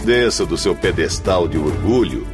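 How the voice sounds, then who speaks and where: Radio drama: urbane challenger, very deep voice, lively inflection, teasing formality, music underneath. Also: male voice, moderate pace. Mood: teasing, challenging. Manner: ceremonious, teasing, theatrical. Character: urbane gentleman, politely provoking a proud companion.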